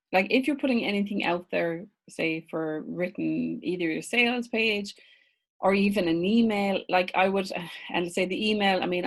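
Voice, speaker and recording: Irish woman, business coach on a live class; chatty, quick to laugh, reads viewer comments aloud. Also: mid-range voice. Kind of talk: live online masterclass.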